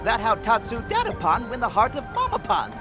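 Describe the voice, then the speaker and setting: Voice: medium pitch, wildly swooping pitch, brisk. An actor playing a small, cheerful, gluttonous furry creature in a video game, talking in broken childlike sentences about itself in the third person. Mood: eager. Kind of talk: video game cutscene acting. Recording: music behind the voice.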